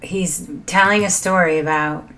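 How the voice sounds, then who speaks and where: Low-voiced soft-spoken woman. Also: steady tone, a little slow. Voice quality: low, quiet voice. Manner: soft-spoken, laughs between thoughts. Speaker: reaction video host. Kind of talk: casual chat to camera. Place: small bright home room.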